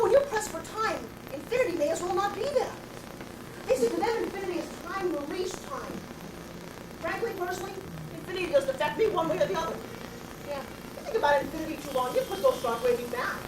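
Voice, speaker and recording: A woman doing a raspy, brash bag lady. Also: very high-pitched, roomy sound, distant mic, noisy background. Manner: confrontational, addresses passersby directly. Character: eccentric brash homeless bag lady, philosophical. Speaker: actress performing a stage monologue. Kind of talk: theatrical comic monologue.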